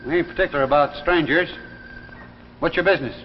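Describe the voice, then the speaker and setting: Casting: male actor as folksy old American small-town oddball, high voice, suspicious, muttering; muffled vintage drama recording with long reverb. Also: fast talker, lightly acted. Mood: wary, probing. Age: older. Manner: folksy, cagey, muttering to himself. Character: eccentric, suspicious old small-town local man called Crazy John. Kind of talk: 1950s television drama acting. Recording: muffled, noisy 1950s broadcast in a reverberant studio.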